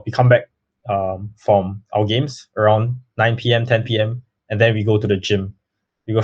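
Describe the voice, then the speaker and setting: A man's voice: fairly low pitch, a little mellow. A young man from Singapore, giving earnest interview answers full of fillers and restarts. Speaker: guest, baseball player. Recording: slightly muffled lo-fi audio.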